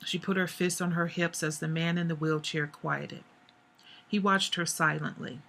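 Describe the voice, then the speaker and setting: Hoarse author lady reading her book, gravelly, kinda chatty. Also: on the low side, fairly even intonation, a bit bright. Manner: chatty, with pauses and fillers.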